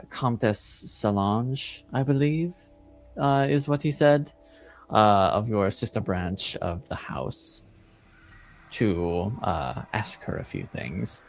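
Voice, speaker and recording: A man running a tabletop roleplaying game as game master, improvising narration and often halting to search for his words. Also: fairly low pitch, gravelly.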